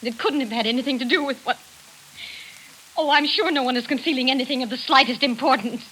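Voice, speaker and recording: A woman's voice: sits high, fast talker, fairly bright tone, rough recording. An actress playing a proper, evasive middle-aged mother in a 1940s radio drama. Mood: insistent, evasive. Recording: hissy old radio recording.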